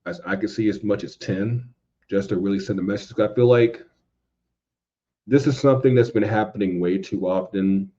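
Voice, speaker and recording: A man with a low, mellow voice talks casually and emphatically as a podcast host. He is opinionated, repeats himself for stress and swears freely, and the audio is a little dull and lo-fi. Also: moderate pace. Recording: dull lo-fi sound.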